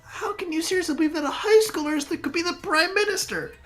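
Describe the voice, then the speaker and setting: A performer playing an incredulous, questioning high school student in an amateur anime game fandub, earnest in delivery. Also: quick pace, faint music behind.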